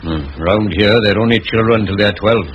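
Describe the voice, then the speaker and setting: An actor playing an earnest, pious middle-aged clerk in an old radio play, solemn and a little hesitant. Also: male voice, fairly low pitch, moderate pace, lightly acted. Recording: dull, hissy old radio recording.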